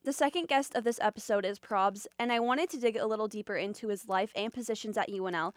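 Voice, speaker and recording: A woman hosting a podcast, reading scripted narration between the interview segments in a clear, even way. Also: medium pitch, fast, no room sound, clean studio sound. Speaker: podcast host.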